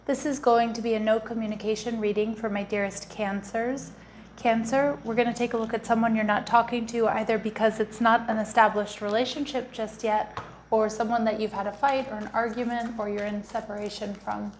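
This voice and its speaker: A woman with a croaky voice from a cold, giving a casual, chatty tarot reading and often addressing her listeners directly. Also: a little high, flat delivery, a little fast, a little hiss or hum. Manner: frequent restarts and fillers, warm addressing. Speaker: tarot reader.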